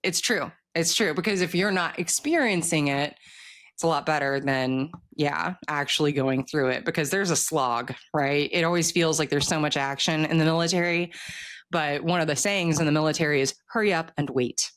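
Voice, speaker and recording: A woman's voice: low voice, moderate pace, fairly bright tone. A woman speaking as an author guest in a casual interview, joking wryly and acting out quotes as she goes.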